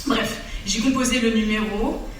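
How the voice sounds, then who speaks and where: A woman's voice: a little high, steady tone, normal speed, far from the mic, a little hiss or hum. A woman telling a comic love story on stage, theatrical and breaking into song. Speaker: stage performer. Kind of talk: comic storytelling monologue with songs. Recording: echoey hall recorded from afar.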